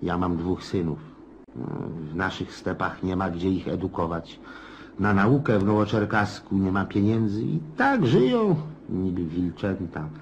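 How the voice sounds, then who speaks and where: An actor playing a talkative, grumbling older country landowner in a radio drama, rambling in a folksy, world-weary yet jovial way. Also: male voice, deep voice, expressive, measured pace, dull audio, slight background noise. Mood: hospitable, insistent. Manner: rambling, folksy, chuckling, exclamatory.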